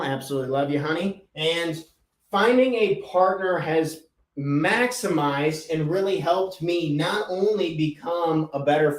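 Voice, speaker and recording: A man's voice: fairly high pitch, roomy sound. A man hosting his own show, giving earnest, emphatic motivational talk and repeating his key words for stress. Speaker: podcast host and life coach. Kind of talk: casual motivational monologue.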